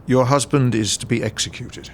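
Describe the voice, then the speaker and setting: A man playing a young British army soldier with a gravelly, mid-pitched voice. He is brusque but deferential, in audio drama style, with a faint rumble behind. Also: moderate pace, close to an everyday voice. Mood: flat, official.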